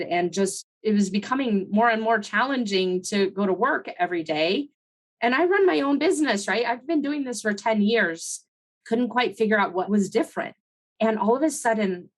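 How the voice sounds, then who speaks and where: Woman podcast host, middle-aged, conversational and encouraging, voice recovering from laryngitis. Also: mid-range voice. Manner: conversational, encouraging, frequent "right?" asides. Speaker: podcast host and consultant coach. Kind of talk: solo podcast teaching talk.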